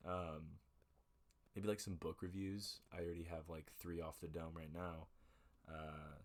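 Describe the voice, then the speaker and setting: A man's voice: sits low, flat delivery, measured pace. A young man hosting a casual home podcast, rambling with lots of fillers and self-corrections into a desk mic. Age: young adult. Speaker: podcast host. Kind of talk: relaxed casual chat. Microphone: USB desk mic with headphones.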